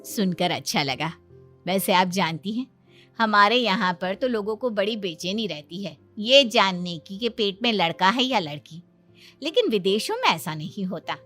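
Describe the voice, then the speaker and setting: A woman's voice: mid-range voice, lively intonation, moderate pace, a bit bright, faint music behind. A woman voicing a friendly, encouraging lady doctor chatting with a family in an acted comedy story. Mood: chatty, approving.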